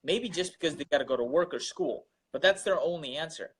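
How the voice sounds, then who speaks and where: A man's voice: a little high, a little fast, husky. A young man from Russia, a guest entrepreneur, talking earnestly about his daily grind, repeating words and using many fillers. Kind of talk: casual interview talk.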